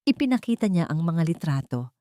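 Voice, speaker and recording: Female audiobook narrator, low register, close dry sound, dramatic first-person storytelling. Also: sing-song, fairly quick, warm tone, no room sound.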